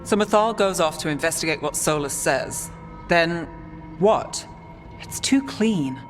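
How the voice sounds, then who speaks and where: A man playing a skeptical, questioning party leader in fantasy game dialogue, speaking deliberately. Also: on the high side, lively intonation. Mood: probing. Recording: long reverb, faint music.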